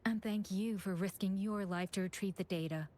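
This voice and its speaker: Woman acting a polite game admin lady, composed and businesslike, mid pitched voice. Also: normal speed, mellow, lightly acted. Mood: composed, analytical. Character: composed, courteous female chief administrator of secret organization.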